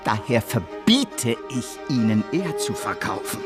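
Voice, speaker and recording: An actor playing a domineering, persuasive financier in a German radio drama, grandiose, cajoling and impatient. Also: male voice, medium pitch, sing-song, unhurried, loud music behind the voice. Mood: imperious. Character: domineering, persuasive financier intoxicated by his own schemes.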